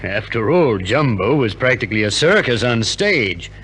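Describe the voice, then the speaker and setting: A man's voice: mid-range voice, big pitch swings, normal speed, a bit bright, breathy edge. An actor playing a showman's inquisitive, prodding friend in a 1950s radio drama. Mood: curious, prodding. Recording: old radio recording, faint noise.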